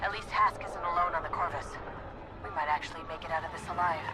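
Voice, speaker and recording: A woman's voice: on the low side, steady tone, quick pace, natural voice. A woman playing a loyal, resolute military commander in a space combat video game, clipped and commanding. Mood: focused. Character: resolute female Imperial squadron commander, fiercely loyal. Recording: loud game music and engine noise behind.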